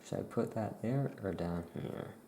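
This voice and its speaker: A soft-spoken ASMR performer playing a laid-back witch shopkeeper, murmuring in an unhurried way while writing. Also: low voice, expressive, creaky. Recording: roomy echo, faint background noise.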